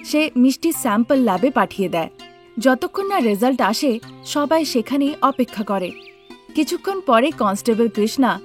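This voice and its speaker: A woman's voice: a little high, animated, moderate pace. A woman giving scripted narration for a cartoon moral tale. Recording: music behind the voice.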